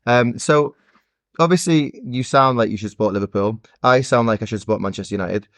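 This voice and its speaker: A man's voice: medium pitch, a little fast, no echo. A man co-hosting a casual football podcast, teasing his friends with mock-grudging banter. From Scotland. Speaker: podcast co-host.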